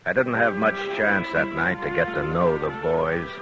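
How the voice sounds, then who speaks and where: Actor as low-pitched American western marshal, calm, cautious, dry and laconic, vintage radio drama, muffled sound. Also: male voice, moderate pace, loud music behind the voice, natural voice. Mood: reflective. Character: weathered, wary male frontier lawman, calm and dry. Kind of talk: 1950s western radio drama acting and narration.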